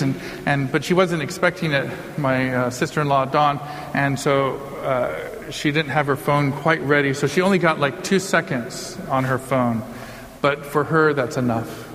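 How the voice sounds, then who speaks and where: A man's voice: a little high, a bit bright, a little hiss or hum. A man giving an informal homily as a priest, conversational and given to joking asides. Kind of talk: informal sermon. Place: large church or hall, live before a congregation. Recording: reverberant hall, long echo.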